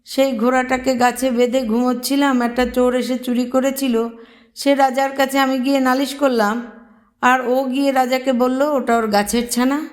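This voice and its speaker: Woman acting a plaintive, high-voiced wronged merchant. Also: flat delivery, moderate pace, close to an everyday voice. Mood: sorrowful. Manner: indignant, plaintive complaining. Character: wronged, plaintive male merchant in a folk tale.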